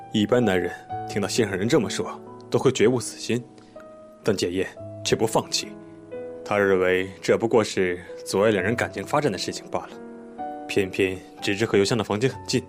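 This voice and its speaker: An actor playing a composed male police detective in an audio drama, explaining things in a measured, methodical, polite way. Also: lowish voice, close to an everyday voice. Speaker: police investigator questioning a witness.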